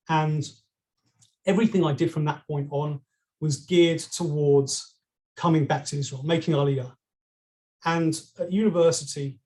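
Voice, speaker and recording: A man's voice: a little high, a little mellow. A middle-aged man from London with a British accent, a podcast guest telling his story with frequent ums and restarts. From England. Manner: hesitant, many ums and restarts. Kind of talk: conversational storytelling.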